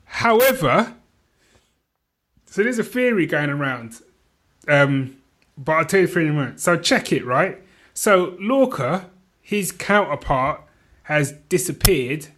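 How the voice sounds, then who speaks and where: Bright-voiced British man, slightly high. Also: sing-song, measured pace, long pauses. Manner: informal, checks in with co-host. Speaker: podcast co-host. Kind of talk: casual chat.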